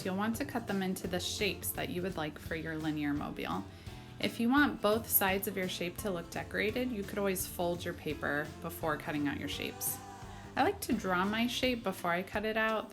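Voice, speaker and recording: A woman art teacher narrating a children's craft tutorial, explaining simply and step by step. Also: lowish voice. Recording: music behind the voice. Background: background music.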